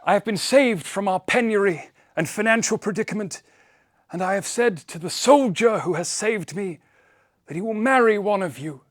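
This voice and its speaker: A man's voice: high-pitched, expressive, moderate pace, dry recording, pitched above the performer's own voice. A man voicing a ruined, fretful old father who wails and pleads, in a told fairy tale. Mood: overjoyed. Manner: wailing, pleading.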